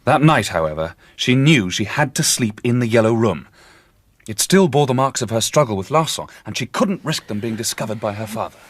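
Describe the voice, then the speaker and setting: A man's voice: on the low side, a bit bright. An actor playing a confident amateur detective in a radio drama, dramatic and eloquent as he tells the tale. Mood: dramatic, grave. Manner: dramatic, eloquent, assured storyteller. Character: theatrical, confident amateur detective revealing a mystery. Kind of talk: period radio drama acting.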